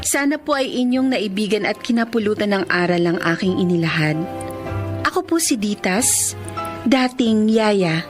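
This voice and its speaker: An actress playing a caring, earnest nanny in a Filipino radio drama, with a Filipino accent. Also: female voice, mid-range voice, expressive, moderate pace, over music, close to an everyday voice. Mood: warm, reflective. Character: caring, earnest young nanny pleading for her ward.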